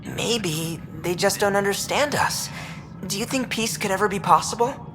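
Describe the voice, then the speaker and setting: An actress playing a soft-spoken female colonist who asks hopeful questions. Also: mid-range voice, normal speed, over music, lightly acted.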